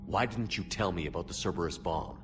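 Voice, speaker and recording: Stern, commanding military officer for a game , male actor, deepish and blunt, over clear background music. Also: fairly quick, close to an everyday voice. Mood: stern, guarded. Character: stern, hard-nosed human military commander, adult man. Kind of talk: video game cutscene acting.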